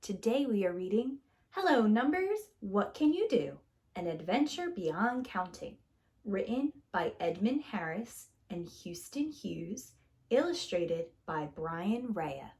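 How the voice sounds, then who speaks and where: Female preschool storyteller: theatrical picture-book read-aloud, highly animated pitch, stretches key words for small children. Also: slow.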